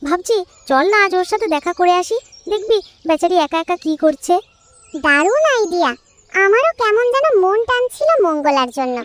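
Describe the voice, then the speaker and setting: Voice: very high-pitched, sing-song, moderate pace, a bit bright, slight background noise, heavily acted. A performer voicing a small, friendly young bird in a children's cartoon, chirpy, earnest and curious. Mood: eager. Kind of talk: children's cartoon story dialogue.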